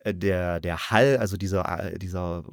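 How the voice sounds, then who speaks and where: Guy podcast host chatting a review, kinda low voice, stops n restarts looking for words, very dry sound. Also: normal speed, no room sound. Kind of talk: casual conversational review.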